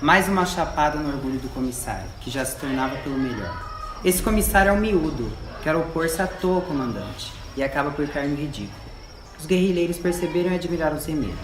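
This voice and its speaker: A man playing a stern guerrilla operations chief in an amateur radio drama, speaking in measured statements. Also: a little high, expressive, close to an everyday voice. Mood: grudging, judging. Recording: echoey room with background noise.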